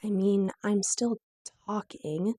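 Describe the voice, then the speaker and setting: A woman's voice: mid-range voice, slow, over music. A woman in her twenties with a weak, strained, breaking voice, telling her own story to camera in a candid, lightly self-mocking way. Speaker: vlogger.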